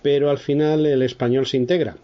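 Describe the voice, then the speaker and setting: A man's voice: mid-range voice, steady tone, fairly quick. A man giving spoken commentary on a radio programme, with some hesitation. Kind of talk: spoken commentary introducing an interview. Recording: dull, lo-fi sound.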